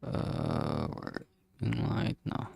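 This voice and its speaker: A streamer talking casually while working, thinking aloud and muttering in a halting way. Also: very deep voice, huge pitch swings, vocal fry, a little mellow, husky, dry recording.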